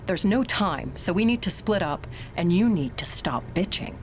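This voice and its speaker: A woman's voice: fairly low pitch, animated, normal speed, soft background music, lightly acted. An actress playing a confident, tough woman agent in a video game, giving curt, commanding orders. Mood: assertive. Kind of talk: video game cutscene acting.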